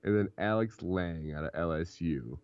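A young man with a very low voice talks through a casual sports podcast and laughs easily between points. The recording is dull and lo-fi, with no room sound at all. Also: normal speed, a little mellow, no echo. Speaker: podcast co-host.

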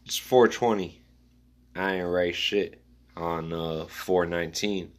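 A man musing aloud in a rambling spoken-word style, reading his own notes in halting fragments. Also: sits low, steady tone.

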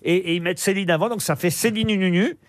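A man hosting a radio panel show in a studio, teasing and quick to joke. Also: sits high.

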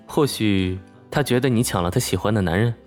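A man's voice: lowish voice, fairly quick, darkish tone, lightly acted. An actor playing a confident, devoted young male office colleague and suitor in a romantic audio drama. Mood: teasing. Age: young adult. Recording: plucked guitar music behind the voice.

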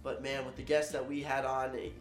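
A man's voice: mid-range voice, flat delivery, fairly quick. A young man hosting a podcast in a casual style, sounding nasally and congested with a mild cold. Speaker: podcast host. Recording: roomy echo, music underneath.

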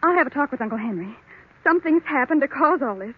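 A woman playing an earnest young niece and new bride, gentle and placating, in old radio drama style. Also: high-pitched, lively intonation, quick pace. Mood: worried, soothing. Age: young adult. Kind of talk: 1940s radio drama acting. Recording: tinny, narrow old radio recording.

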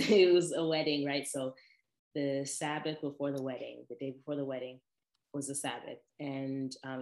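A young woman with a deep voice, chatting casually and telling personal stories to her viewers, with frequent laughs and lively asides. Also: echoey room. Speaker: vlogger. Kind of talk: casual personal storytelling to camera.

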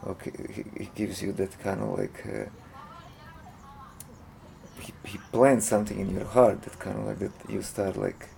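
A man's voice: fairly low pitch, sing-song, normal speed, creaky, long pauses, warm tone, slight background noise. A man from Croatia, speaking English as an in a hesitant interview, full of fillers and restarts. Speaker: interview guest, monk. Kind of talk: casual interview talk.

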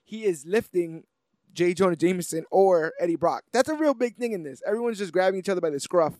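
High-pitched man recapping casually, dry sound. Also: lively intonation, brisk, a little mellow, no echo. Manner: conversational retelling with acted-out paraphrases. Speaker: podcast co-host. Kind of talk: casual chat, cartoon recap.

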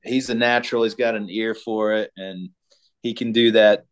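Laid-back low-voiced man from Colorado. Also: steady tone, clear, resonant tone. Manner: laid-back, halting, many ums. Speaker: guest singer-songwriter. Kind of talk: casual interview chat.

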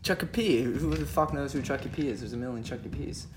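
A man hosting a joking podcast, loud and swaggering with a lot of swearing. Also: medium pitch, fast talker. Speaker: podcast host.

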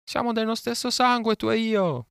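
A man's voice: moderate pace, creaky, clean tone, no room sound, clean studio sound, exaggerated voice. A man voicing a young jungle boy who cries out urgently for help in a storybook reading. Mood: urgent, shrill. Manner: shrill, urgent shouting.

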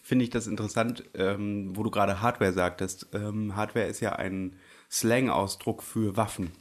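Low-voiced male podcast co-host, chatty, filler-heavy, scratchy throat, studio mic. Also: fairly even intonation. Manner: chatty, many fillers, quick laughs. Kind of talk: casual banter.

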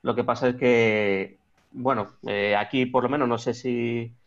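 A man's voice: mid-range voice, steady tone, unhurried. Man, Extremadura Spanish accent, 30s, hesitant guest, lots of fillers, muffled lo-fi audio. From Extremadura, Spain. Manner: hesitant, many restarts and fillers. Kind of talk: remote interview conversation.

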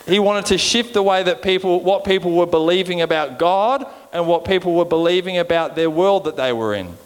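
A man's voice: sits high, a little fast, smooth. An Australian man preaching an informal sermon in a conversational way, often checking in with his listeners for agreement. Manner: conversational, seeks agreement with frequent rhetorical check-ins. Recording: long hall reverb.

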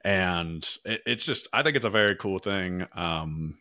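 Male podcast host, American, low-pitched but bright and forward, self-deprecating, close dry sound. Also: measured pace, no room sound. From Pittsburgh, United States. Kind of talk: casual podcast interview chat.

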